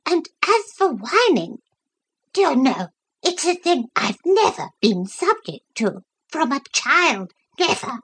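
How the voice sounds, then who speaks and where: A woman's voice: high voice, big pitch swings, slow pace, fairly bright tone, voice pushed up, full character voice. A woman voicing a self-pitying, whining female pet dog in a storybook reading. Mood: fawning. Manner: whining, plaintive.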